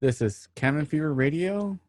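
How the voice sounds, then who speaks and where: A man's voice: on the high side, animated, normal speed, darkish tone. A man hosting an online radio drama show, casually giving thanks and announcements to listeners.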